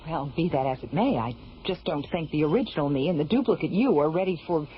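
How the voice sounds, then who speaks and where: A woman's voice: low voice, expressive, fast talker. A feisty, flustered young woman. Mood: indignant. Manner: spirited, flustered. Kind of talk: 1970s radio drama acting. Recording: dull old radio recording.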